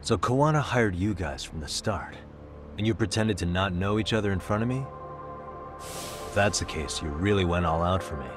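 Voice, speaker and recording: Male actor as private detective for game dub; low register, dry and confrontational, music clearly behind him. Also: normal speed, natural voice. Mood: accusing. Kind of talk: English video game dub acting.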